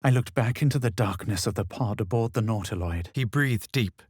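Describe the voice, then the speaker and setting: Low, husky, brooding adventurer. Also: male voice, moderate pace, darkish tone, no room sound, studio quality. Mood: solemn, brooding. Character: brooding male fantasy adventurer recounting his visions. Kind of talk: dramatic first-person game narration.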